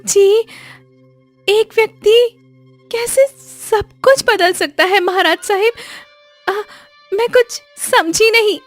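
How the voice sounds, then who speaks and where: Cartoon village woman, acted by a female reader: very high whine, slow imploring delivery, music behind. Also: no room sound. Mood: pleading. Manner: imploring, drawn-out, deferential. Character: pleading, distressed village woman in a moral-story cartoon.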